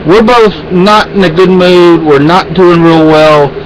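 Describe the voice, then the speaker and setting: A man's voice: high-pitched, fairly even intonation, measured pace, slight background noise, rough recording. A man venting in a rambling, exasperated way in a casual home vlog, with many restarts. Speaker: vlogger.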